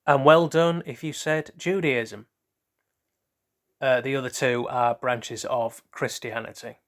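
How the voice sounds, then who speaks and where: A man's voice: mid-range voice, fairly even intonation, slow, a bit bright. A man hosting a casual trivia quiz, reading out questions and then rambling loosely through hesitant asides about the answers. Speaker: quiz host.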